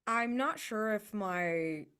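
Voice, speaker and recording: A woman's voice: medium pitch, animated, slow pace, fairly bright tone, clear, resonant tone. A woman chatting informally and with enthusiasm as a player in a tabletop game. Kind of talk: casual chat.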